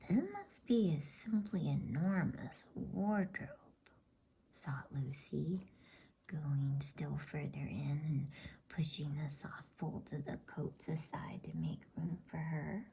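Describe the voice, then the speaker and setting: A woman reading a bedtime story in a soft, breathy ASMR whisper, gentle and unhurried. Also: sits low, a little hiss or hum.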